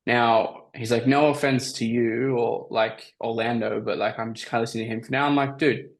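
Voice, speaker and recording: A man with a slightly raspy voice, coaching on a call and giving casual, emphatic advice as a sales coach. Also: medium pitch, steady tone, moderate pace. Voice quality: raspy from talking all day. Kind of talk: coaching call, answering questions.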